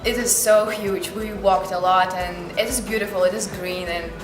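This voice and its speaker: A woman's voice: mid-range voice, steady tone, moderate pace. Young woman, Ukrainian accent, student testimonial, earnest, reverberant room, background music. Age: young adult. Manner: earnest, reflective, with frequent "like" fillers. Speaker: university student. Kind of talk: interview testimonial.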